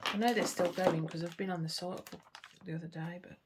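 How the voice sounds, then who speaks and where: A woman's voice: on the low side, fairly even intonation, fairly quick, heavy background noise. A woman chatting casually to camera while she works on a craft kit, murmuring and trailing off, a little sniffly. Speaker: craft vlogger.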